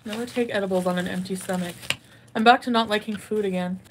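A woman's voice: medium pitch, fairly even intonation, quick pace, a little hiss or hum, low-quality recording. A woman in her thirties doing a casual clothing haul at home, rambling and giggly, often joking at her own expense. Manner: rambling, giggly, self-deprecating. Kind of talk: casual haul vlog chat. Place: indoor bedroom at home.

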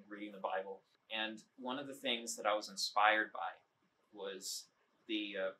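A young man, composer of the song, earnestly and reflectively sharing his personal faith testimony on a dark stage, speaking into a stand mic through a face mask. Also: on the low side, a little hiss or hum, rough recording. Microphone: stand mic, wearing a face mask.

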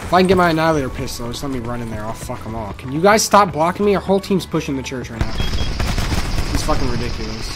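A young male streamer talks in a mid-pitched voice, swearing and trash-talking as he plays. He speaks into a headset from a home setup. Game music plays clearly behind him. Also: animated, quick pace. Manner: profane, frustrated trash talk. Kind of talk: casual gameplay chat.

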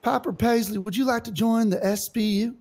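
A man's voice: very high voice, fairly even intonation, a little fast, vocal fry, a little mellow, exaggerated voice. A man doing a blustery old cowboy recruiter. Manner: blustery, prejudiced drawl, stumbling when flustered. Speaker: roleplay character recruiting for a unit. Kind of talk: improvised roleplay.

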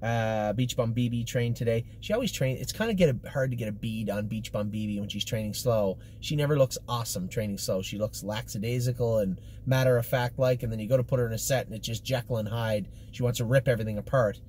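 A man's voice: medium pitch, fast, hardly any pauses, a little mellow. A middle-aged man giving a casual, matter-of-fact update to camera in choppy, informal phrases from inside a car. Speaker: stable commentator giving horse updates. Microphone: camera or phone mounted at arm's length. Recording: clear background noise in a car.

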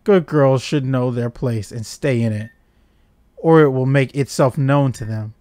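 A man's voice: mid-range voice, warm tone, no echo, lightly acted. Man putting on a cold, menacing villain commander. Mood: cold menace. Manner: measured, commanding. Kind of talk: voiced reading of game dialogue. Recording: game music behind.

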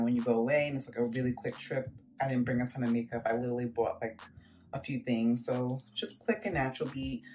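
Chatty low-voiced woman vlogging. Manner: chatty, filler-heavy, relaxed. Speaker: lifestyle vlogger. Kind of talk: casual vlog chat to camera. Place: indoors, home and shopping mall. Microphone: phone camera at arm's length.

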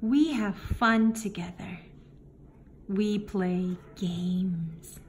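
A woman reading a picture book aloud to young children, in simple phrases with deliberate pauses. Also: mid-range voice. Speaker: children's story reader. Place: indoor room, book filmed on a table. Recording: faint room noise behind the voice.

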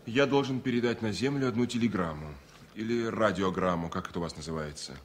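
An actor playing an anxious, insistent airline passenger with urgent, intense delivery in a 1970s Soviet radio play. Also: male voice, on the low side, quick pace, natural voice. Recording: faintly noisy vintage radio recording.

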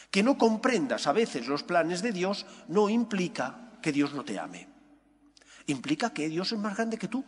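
Spanish priest preaching in a reverberant church. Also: male voice, a little high, a bit bright, husky. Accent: Spain. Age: middle-aged. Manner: emphatic, didactic, phrases split by deliberate pauses. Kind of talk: sermon, homily. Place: large indoor church. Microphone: gooseneck microphone at lectern.

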